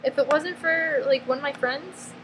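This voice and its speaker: Blunt woman with a New York accent. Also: high voice. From northeastern US, near New York. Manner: blunt, emphatic, peppered with "freaking" and "like"; halting, restarts mid-sentence. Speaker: vlogger. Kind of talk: confessional vlog monologue. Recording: noticeable background ambience noise.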